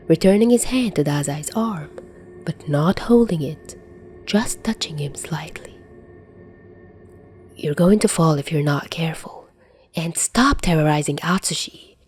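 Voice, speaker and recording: A woman reading a story aloud as narrator in an expressive scripted style. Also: lowish voice. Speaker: fan fiction narrator.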